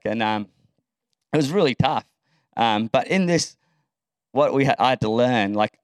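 A man's voice: mid-range voice, no echo. A man in his late thirties with an Australian accent, a pastor giving a candid, self-deprecating testimony to a church, with many ums. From Sri Lanka.

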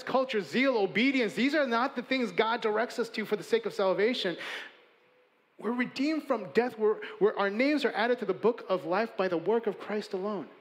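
Earnest preaching man with a high voice. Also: long reverb tail. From Chicago, USA. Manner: earnest, emphatic preaching with building lists and hushed endings. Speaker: pastor. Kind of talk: sermon.

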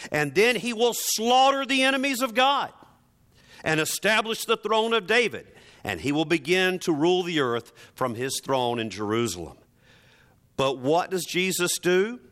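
A man's voice: high voice, very animated, normal speed, fairly bright tone. A man preaching a sermon in a conversational, wry manner, often posing rhetorical questions.

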